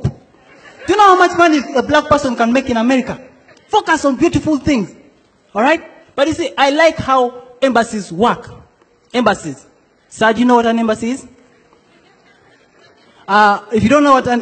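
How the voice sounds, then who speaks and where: Young guy from south sudan doing stand-up, really high voice, big hall with laughter. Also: brisk, long pauses, a bit bright, husky. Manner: playful, acts out quoted characters. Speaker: stand-up comedian. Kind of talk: stand-up comedy set. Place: comedy stage in a large hall before a laughing audience.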